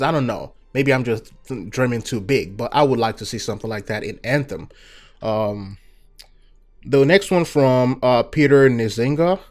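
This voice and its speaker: A young Nigerian man with a Nigerian accent hosts a casual viewer Q&A. His voice sits at a middling pitch, and he talks in a loose, relaxed way, dropping in fillers and "you know" as he goes. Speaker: gaming channel host.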